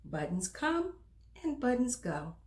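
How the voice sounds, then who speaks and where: A woman reads a children's storybook aloud as host, in an expressive, emphatic manner that speaks directly to young listeners. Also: fairly high pitch, slow. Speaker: children's book reader and host.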